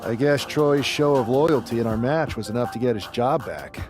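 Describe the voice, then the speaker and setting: A man's voice: mid-range voice, normal speed, music in the background, natural voice. An actor playing an earnest, folksy male pro wrestler in a video game cutscene. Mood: suspicious, earnest.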